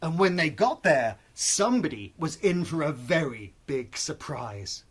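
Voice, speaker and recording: Animated, slow, husky bedtime storyteller. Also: medium pitch. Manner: expressive, suspenseful, deliberate. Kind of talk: dramatic scripted children's story narration.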